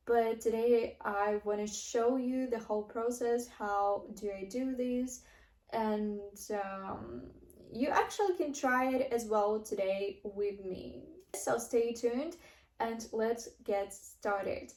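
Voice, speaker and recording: A young woman from Russia with a Russian accent, talking earnestly to camera as she teaches English, often pausing on fillers and restarting her sentences. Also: a little high, fairly even intonation, a little mellow. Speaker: English-learning vlogger. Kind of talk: casual teaching monologue to camera. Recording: echoey room.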